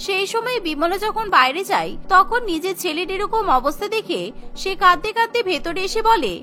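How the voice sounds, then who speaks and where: A woman narrating a cartoon story in an even, dramatic storytelling manner. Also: extremely high pitch, fairly quick, faint music behind.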